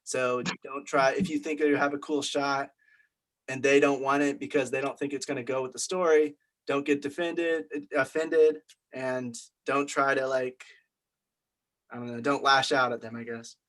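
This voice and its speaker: A man's voice: mid-range voice, level tone, moderate pace, fairly bright tone. A man leading a group discussion, explaining things in an informal, conversational way. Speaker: group meeting leader.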